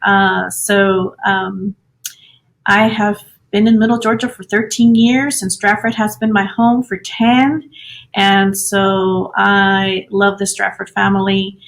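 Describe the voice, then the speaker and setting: Creaky-voiced American woman teacher. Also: medium pitch. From Los Angeles, California, United States. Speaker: Spanish teacher. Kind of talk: informal welcome address to students.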